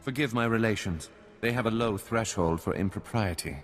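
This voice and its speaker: An actor playing a composed noble lord in a video game, formal and diplomatic, with measured authority. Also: male voice, lowish voice, in a large hall, faint music behind, close to an everyday voice. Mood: courteous, firm. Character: composed noble house lord, courteous but commanding. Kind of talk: scripted video game drama.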